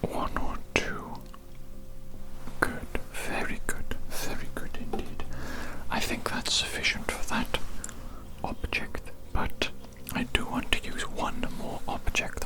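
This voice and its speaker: A performer voicing a condescending alien doctor in a breathy ASMR whisper, soothing, patronizing and repetitive during a medical roleplay. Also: takes it slowly, lightly acted, low-quality recording. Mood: calm, clinical. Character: condescending, politely amused alien doctor giving a hearing exam.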